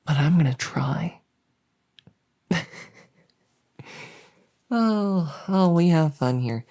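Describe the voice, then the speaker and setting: A woman playing a friendly, silly monster under the bed in an ASMR roleplay, rambling playfully and softly. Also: low voice, animated, very slow, exaggerated voice. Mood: chatty, playful, then chatty, curious. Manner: rambling, playful, soft-spoken.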